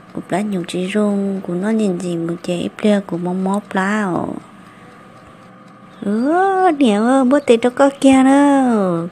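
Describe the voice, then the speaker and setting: A woman voicing a female character in an animated family drama. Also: mid-range voice, a little hiss or hum, natural voice. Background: music.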